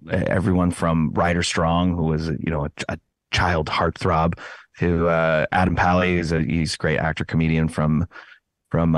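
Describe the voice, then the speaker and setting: Low-pitched man, chatty interview guest. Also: vocal fry, dry recording, studio quality. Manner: hesitant phrasing, self-correcting, chatty. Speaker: podcast host appearing as interview guest. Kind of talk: casual conversational interview answers.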